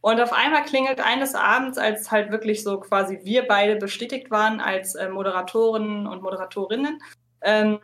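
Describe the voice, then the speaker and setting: A woman speaks at a medium pitch in a room with noticeable echo. She talks casually as an interviewed podcast co-host, laying things out in long, detailed sentences. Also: moderate pace, fairly bright tone. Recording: roomy, echoey sound.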